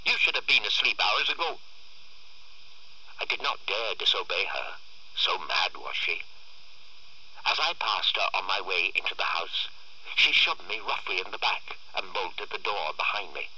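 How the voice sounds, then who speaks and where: A man reading a literary short story aloud in a theatrical, expressive way. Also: deep voice, normal speed, slightly rough, no room sound, lo-fi sound. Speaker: story reader.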